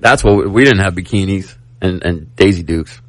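Male radio co-host, forties, relaxed storyteller, dry close studio mic. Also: deep voice, moderate pace, no room sound. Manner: laid-back storytelling, laughs often. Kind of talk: casual morning-show banter.

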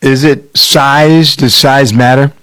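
A man's voice: medium pitch, fairly even intonation, slow, fairly bright tone, clear, resonant tone. A man hosting a radio farm program, interviewing a guest in a conversational, probing way. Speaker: radio show host.

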